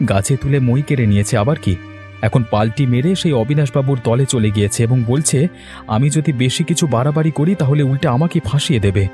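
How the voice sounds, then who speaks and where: Male actor as earnest wronged son-in-law, cartoon drama; dark mellow voice, faint music. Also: medium pitch, a little fast, natural voice. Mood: bitter, glum. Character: honest, aggrieved young village son-in-law. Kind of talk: dramatic cartoon story dialogue.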